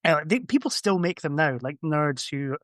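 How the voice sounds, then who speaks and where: Higher-voiced man chatting, dry sound. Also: very animated, moderate pace, a bit bright, no echo. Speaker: podcast guest. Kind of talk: casual chat.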